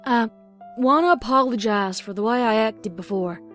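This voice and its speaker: A woman's voice: on the high side, normal speed, soft background music, close to an everyday voice. A woman playing an earnest, protective young farm mare and big sister, plain and down-to-earth, with a Southern American accent. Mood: apologetic. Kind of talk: audio drama character acting.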